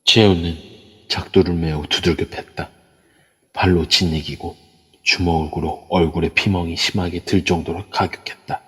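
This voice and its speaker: A man narrating an audio drama in a steady, measured way. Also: sits low, breathy edge. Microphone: phone via a voice chat app. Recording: long reverb.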